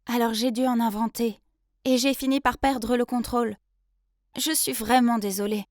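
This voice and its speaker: A woman voicing an earnest young female office intern who tells her love story in an expressive, confiding way. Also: a little high, moderate pace, dry recording, clean studio sound, lightly acted. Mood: apologetic, pleading. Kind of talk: dramatised first-person story narration.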